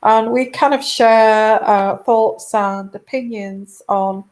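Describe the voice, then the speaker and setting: A woman hosting a live tarot show, speaking quietly through a sore throat from a virus, hesitant with many pauses and restarts. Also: a little high, fairly even intonation. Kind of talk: casual live-stream chat.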